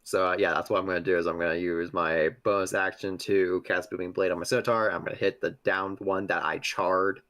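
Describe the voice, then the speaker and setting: A man's voice: deep voice, fairly even intonation, a little fast, few pauses, a bit bright. A man playing a tabletop game, casually explaining rules and moves, with some swearing.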